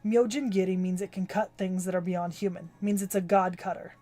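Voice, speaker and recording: Woman growling as a gruff old swordsmith. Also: fairly low pitch, fairly even intonation, brisk, mellow, natural voice. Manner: curt, commanding delivery. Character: gruff older male swordsmith, blunt and proud. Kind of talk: reading a game's story aloud on stream.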